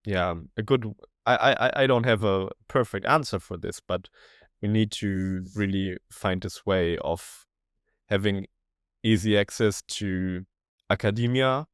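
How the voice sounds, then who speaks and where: A young man from Germany, German his first language, speaks in a fairly low voice. He talks casually as a podcast guest, hesitating and restarting as he works through ideas. The sound is dry and close. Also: clear, resonant tone, dry recording. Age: young adult. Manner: hesitant, restarts often, thinks aloud. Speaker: guest researcher.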